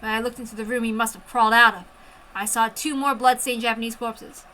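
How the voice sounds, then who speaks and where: Woman, fairly high voice, very bright tone, intense expressive story narration. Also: brisk, rough recording. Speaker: amateur story reader. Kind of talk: dramatic first-person story narration.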